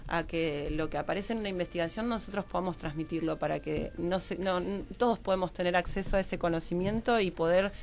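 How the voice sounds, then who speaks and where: Argentine lady on a radio interview, kinda low voice, keeps restarting and circling her point. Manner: halting, repetitive, searching for words. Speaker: guest researcher on gender and health law.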